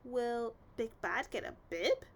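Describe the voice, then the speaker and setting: A woman's voice: on the high side, animated, slow, slight background noise. A woman voicing a cheerful, eager little monster child in a storybook reading. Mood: excited. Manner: childlike, eager, speaks of self in third person.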